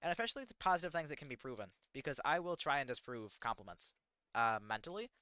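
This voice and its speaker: Halting teenage American guy streaming. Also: medium pitch, big pitch swings, pushed and bright, clear, resonant tone, no echo. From United States. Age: teens. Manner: halting and rambling, self-deprecating, many fillers. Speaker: livestreamer. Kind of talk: casual livestream chat answering chat questions.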